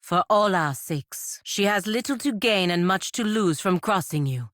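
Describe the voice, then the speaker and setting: An actress voicing a proud, stern warrior knight in a video game, speaking in a formal, measured, admonishing way. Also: female voice, mid-range voice, expressive, a bit bright, no echo, clean studio sound. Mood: solemn counsel. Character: proud, stern warrior knight sworn to her republic, woman. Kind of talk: video game character dialogue.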